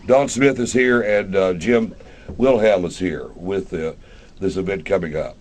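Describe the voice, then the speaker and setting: A man's voice: sits low, a little slow, a little mellow. A man hosting a casual live radio interview in an informal, rambling, conversational way. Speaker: radio show host.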